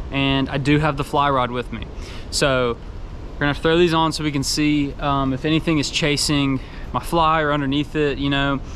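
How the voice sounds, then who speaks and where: A man's voice: fairly high pitch, a little hiss or hum. A man, chatting casually in a fishing vlog while he casts by an outdoor creek. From Texas. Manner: easygoing, excited, talks while casting. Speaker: fishing vlogger. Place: outdoors by a creek under an underpass. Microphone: camera mic, held close or filmed from a few steps away.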